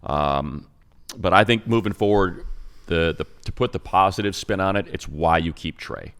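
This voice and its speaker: A middle-aged man with a very low, gravelly voice breaks down football on a sports podcast. He talks in an emphatic, conversational way and leans on fillers as he builds his points. He sounds like a seasoned radio announcer. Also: normal speed, a bit bright. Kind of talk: casual sports podcast analysis.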